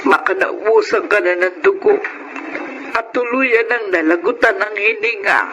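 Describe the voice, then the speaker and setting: A woman playing a gentle, patient wife and mother in a Filipino radio drama, speaking soothingly and deliberately. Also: mid-range voice, very noisy, natural voice. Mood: concerned, gentle. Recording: dull sound, loud music bed.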